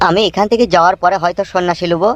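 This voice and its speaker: A man's voice: high-pitched, fairly even intonation, racing, dry recording, faint music behind, pitched above the performer's own voice, close to an everyday voice. A man voicing a meek young accused man who pleads with the police in a cartoon. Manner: submissive, pleading.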